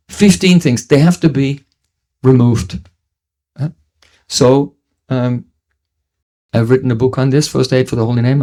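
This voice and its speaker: A man's voice: on the high side, a little mellow. Earnest man giving a devotional lecture. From New Zealand. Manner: earnest teaching tone, frequent restarts, chanted Sanskrit verses. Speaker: spiritual teacher.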